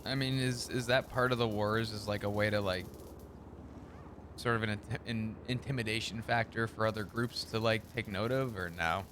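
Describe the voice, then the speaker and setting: A man's voice: fairly low pitch, steady tone, moderate pace, noisy background. A man streaming a roleplay game, chatting in character in a casual, swearing manner. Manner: casual, swears often, chuckles.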